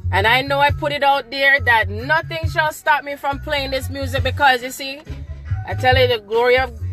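A woman's voice: a little high, fairly bright tone. A middle-aged woman talking on an impromptu live video from inside a car at night. She is a little nasal from a cold and talks fervently, repeating affirmations. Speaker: spiritual livestreamer. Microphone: phone held at arm's length.

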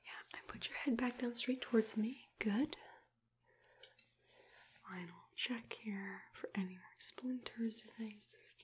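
A young woman whispering gently and soothingly close to the mic, in an ASMR roleplay as a dentist. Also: on the high side, takes it slowly, slight background noise. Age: young adult. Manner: soothing, gentle, hesitant murmured phrasing. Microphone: close to the microphone.

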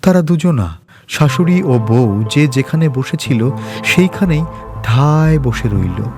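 A man tells a scripted story in a medium-pitched voice. His delivery is slow and expressive, with dramatic phrasing, and soft music plays faintly underneath. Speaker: story narrator. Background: faint background music.

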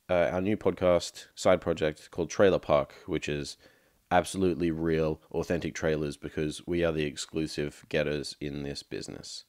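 Low-voiced man, wry podcast host. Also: dry recording, studio quality. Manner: wry, joking asides. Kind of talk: casual podcast outro.

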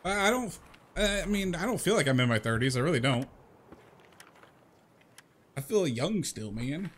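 A man's voice: a little high, expressive, normal speed, a bit bright, a little hiss or hum. A man in his thirties chatting casually to viewers as he plays a game, rambling and repeating himself in an informal way. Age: 30s. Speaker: video game livestream host.

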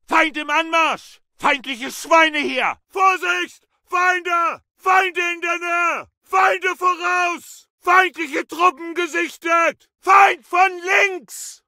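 A man's voice: very high voice, pushed and bright, no echo, studio quality, full character voice. A man playing an aggressive, battle-hardened WWII German infantry soldier, barking urgent, clipped combat lines for a video game.

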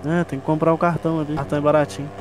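A man's voice: on the high side, little pitch movement, rapid-fire. A man with a Brazilian accent in casual voice chat as a fellow player in an online game. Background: music and vehicle sounds.

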